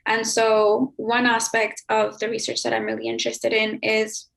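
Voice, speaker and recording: A woman's voice: on the high side, completely flat delivery, moderate pace, gravelly, a bit bright. An American woman from Chicago speaking as a guest in a reflective interview, explaining her points and often checking in with "right?". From Chicago, United States.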